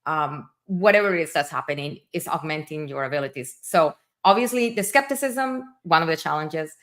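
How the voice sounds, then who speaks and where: A woman's voice: low-pitched, animated, fairly quick, fairly bright tone. A woman hosting a livestream, a native Spanish speaker with a Spanish accent, talking in an enthusiastic, chatty way full of fillers. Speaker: livestream host. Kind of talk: casual livestream conversation.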